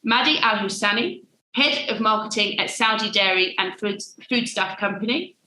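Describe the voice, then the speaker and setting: Bright enthusiastic woman hosting a webinar. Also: mid-range voice, normal speed, reverberant, slight background noise, low-quality recording. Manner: upbeat, enthusiastic introductions. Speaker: webinar host.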